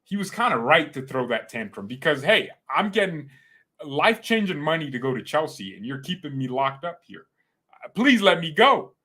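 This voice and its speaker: A man hosting a fan channel, chatting casually with his live chat, rambling and joking with sudden loud outbursts. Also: a little high, very animated, moderate pace. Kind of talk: casual livestream chat with viewers.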